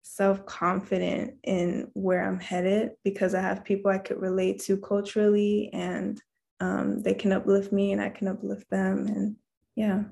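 A soft-spoken young woman, a college student, answering interview questions hesitantly with many pauses and fillers. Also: mid-range voice, monotone, gravelly. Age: young adult. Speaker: guest, engineering student.

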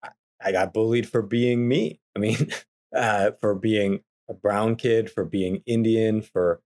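A man's voice: on the low side, fairly even intonation, slow pace, a little mellow. A man in his thirties, a podcast guest, speaking in an earnest, thoughtful way with some hesitations. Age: 30s. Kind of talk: casual conversation.

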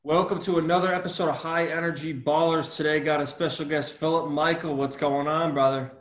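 A man's voice: fairly high pitch, steady tone, fairly quick, roomy sound. A man hosting a sports podcast, upbeat and a little hesitant as he introduces his guest. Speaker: podcast host. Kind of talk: casual interview hosting.

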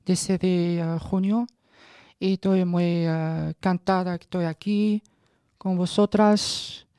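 A woman in her thirties with a Moroccan accent gives a personal testimony, haltingly searching for words in a language that is not her first. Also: lowish voice, fairly even intonation, mellow, no room sound, studio quality. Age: 30s. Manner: halting, searching for words in non-native Spanish. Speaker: radio guest giving a personal testimony.

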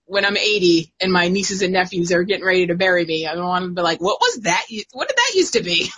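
Bright, husky-voiced chatty young woman. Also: fairly low pitch, big pitch swings, brisk. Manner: chatty, laughs often, many fillers. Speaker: guest co-host. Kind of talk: casual chat.

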